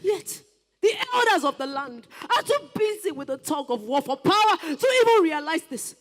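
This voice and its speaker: Very high lamenting Nigerian villager. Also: male voice, sing-song, normal speed, a bit bright, exaggerated voice. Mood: indignant plea. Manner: declamatory, sing-song. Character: melodramatic impoverished villager, lamenting and demanding. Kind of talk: theatrical stage play acting.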